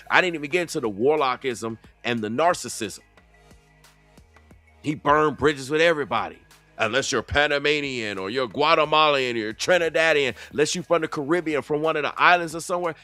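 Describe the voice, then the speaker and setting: A man's voice: medium pitch, pushed and bright. A man hosting a reaction video, giving heated, rhetorical commentary in a strong dialect.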